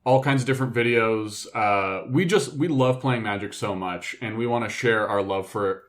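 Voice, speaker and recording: A man's voice: lowish voice, normal speed. Casual, slangy man co-hosting a podcast. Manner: informal slangy talk with swearing. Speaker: podcast co-host. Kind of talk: casual group podcast chat.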